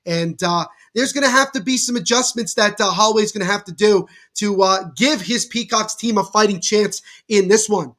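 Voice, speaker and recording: A man's voice: high voice, normal speed, hardly any pauses. Nasal, congested man calling a game. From New Jersey. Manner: excitable, bursts louder on big plays. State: congested from a cold. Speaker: livestream commentator. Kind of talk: play-by-play watchalong commentary.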